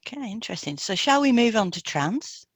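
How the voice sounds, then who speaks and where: A woman's voice: fairly high pitch, sing-song, fairly quick, dry recording, studio quality. A woman co-presenting an educational podcast, reading scripted material in an explanatory, summarising way.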